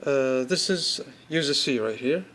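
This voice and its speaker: A man giving an informal, unscripted product demonstration, speaking haltingly in short pieced-together bursts. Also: mid-range voice, lively intonation, a bit bright. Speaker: app demonstrator.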